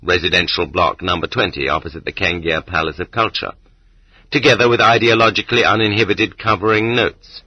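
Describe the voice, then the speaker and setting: A man's voice: low voice, fairly even intonation, fairly quick, slightly rough, no echo. A man reading a nonfiction audiobook as scripted narration, on a dull cassette recording. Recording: dull lo-fi cassette transfer, dry.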